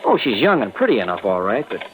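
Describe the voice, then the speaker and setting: An actor playing a genial, chatty insurance executive with a folksy, familiar manner in a vintage radio drama. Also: male voice, on the low side, huge pitch swings, fast. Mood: wry, gossipy. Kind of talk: 1950s-60s radio drama acting. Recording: tinny vintage radio recording.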